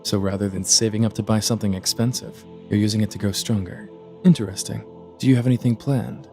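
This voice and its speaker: A man playing a blunt, inquisitive god of death with measured, formal speech in an audio drama. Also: fairly low pitch, steady tone, mellow, faint music behind, close to an everyday voice. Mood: impassive probing. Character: impassive, inquisitive male god of death, blunt assassins' accountant.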